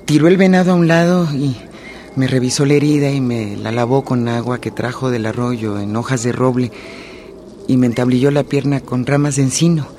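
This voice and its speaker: An actor playing a wounded young guerrilla fighter, narrating his story gravely and with measured pace in a radio play. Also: medium pitch, fairly even intonation, a little mellow, a little hiss or hum, natural voice. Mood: subdued, pained. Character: wounded young male guerrilla fighter recounting an ambush and escape. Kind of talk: dramatic first-person narration in a radio play. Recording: radio drama with music underneath.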